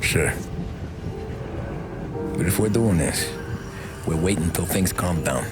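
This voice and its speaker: A man's voice: deep voice, expressive, slow, over loud music. An actor playing a level-headed, guarded American mobster in a crime game, terse and cautious in his talk. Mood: cautious, dry. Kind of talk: cinematic crime game acting.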